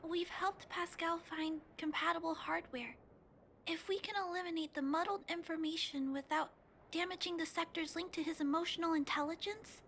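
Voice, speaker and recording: An actress playing a gentle, earnest young girl in a video game, speaking softly and politely. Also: extremely high pitch, steady tone, normal speed, a little hiss or hum. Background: game music.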